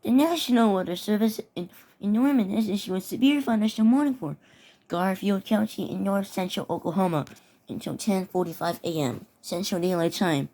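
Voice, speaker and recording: A woman's voice: medium pitch, brisk, dark tone, poor audio. A woman reading mock severe weather warnings aloud with her own commentary, often stumbling over and repeating words. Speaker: mock emergency alert narrator.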